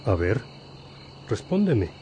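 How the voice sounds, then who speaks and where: An actor playing a wise, patient priest and teacher in a religious radio drama, gently questioning and instructing. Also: male voice, on the low side, sing-song, slow pace, slight background noise. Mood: playful, probing. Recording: music behind the voice.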